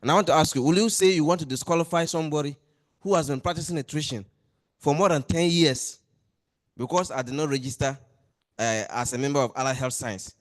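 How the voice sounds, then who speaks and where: A man's voice: a little high, a little fast. A man, a lecturer raising an earnest complaint at a meeting and pressing his questions. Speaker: lecturer and association member. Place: meeting hall.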